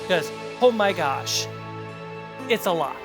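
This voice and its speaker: A game master hosting a tabletop fantasy show. The voice sits at a middling pitch, with music clearly playing behind it. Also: lively intonation, unhurried. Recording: background music under voice. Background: background music.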